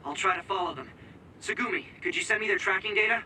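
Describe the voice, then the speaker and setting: An actor playing an earnest young mission support operator in an anime-style video game, focused and informative. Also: male voice, sits high, fast talker, sharp tone, a little hiss or hum, low-quality recording. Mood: intent, cautious.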